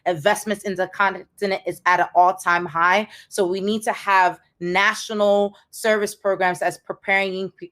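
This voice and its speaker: A young woman with an American accent, speaking energetically as a panelist on a video call. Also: lowish voice. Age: young adult. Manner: energetic, emphatic, repeats words.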